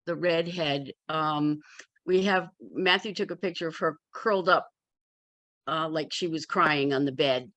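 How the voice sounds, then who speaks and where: A woman's voice: low-pitched, unhurried, fairly bright tone, no echo. A woman chatting casually in a group as an author, with a candid, wry way of talking. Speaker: memoir author in a community discussion.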